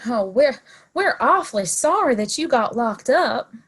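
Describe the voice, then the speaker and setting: Woman playing a lively husky frontier townswoman. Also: fairly high pitch, measured pace, exaggerated voice. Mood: warm, earnest. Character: friendly, bold frontier townswoman, grateful and flirtatious. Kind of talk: audio play western dialogue.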